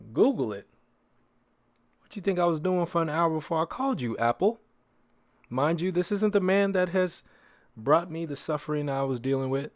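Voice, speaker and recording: A man's voice: fairly high pitch, animated, a little slow, long pauses, no room sound. A man hosting a solo podcast in a casual, conversational way, repeating phrases for emphasis and posing rhetorical questions. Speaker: podcast host.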